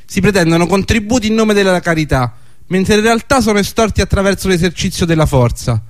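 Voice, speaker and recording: A man plays an alarmed magistrate in a radio drama, giving a formal, declarative report. His voice sits fairly high and is recorded very dry, with no room around it. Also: lively intonation, a little fast, no echo. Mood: grave alarm.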